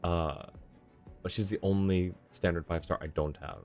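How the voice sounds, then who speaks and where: A man's voice: low voice, moderate pace, darkish tone. A man streaming a video game, chatting casually and muttering reactions as he plays. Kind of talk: casual live gameplay commentary. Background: game music.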